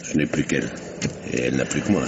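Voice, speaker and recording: An actor playing a grave, principled elderly retired banker in an old French radio mystery, speaking in a measured, sententious way. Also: male voice, a bass voice, gravelly. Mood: indignant, grave. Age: older. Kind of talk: mid-century French radio mystery acting. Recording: noisy, muffled old radio drama recording.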